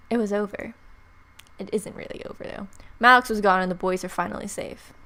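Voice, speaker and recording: A woman's voice: mid-range voice, vocal fry, fairly bright tone. A young woman hosting a let's-play, reading game narration aloud expressively and dropping in playful, casual reactions. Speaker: let's-play video host. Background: game music.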